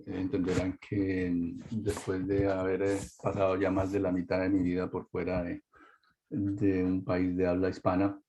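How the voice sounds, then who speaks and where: A man presenting a webinar talk, halting with many fillers and restarts, over a video-call mic. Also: deep voice, completely flat delivery, mellow. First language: Spanish. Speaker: webinar speaker. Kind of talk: hesitant presentation. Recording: dull lo-fi webinar audio.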